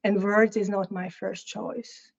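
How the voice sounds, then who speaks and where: Hungarian lady artist, flat even voice, talks slow and careful, muffled lo-fi sound. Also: mid-range voice, gravelly, slightly rough. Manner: halting, thoughtful, many restarts. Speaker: guest artist. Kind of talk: interview, reflective talk.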